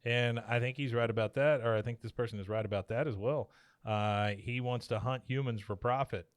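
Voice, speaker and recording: A man hosts a casual podcast with enthusiasm. His voice is average in pitch, and the sound is dry and close as he banters loosely. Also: steady tone, a little fast, no echo. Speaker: podcast co-host.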